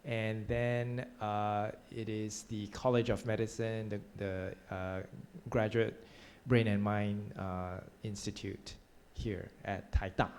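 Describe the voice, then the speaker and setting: Man lecturing at a university, slow speech, halting with fillers, faint background noise. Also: fairly low pitch, flat delivery, darkish tone. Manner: slow, halting, many "uh" fillers and restarts, laughs often. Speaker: lecturer hosting the class. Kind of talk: casual chat. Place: university room. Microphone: hybrid video call.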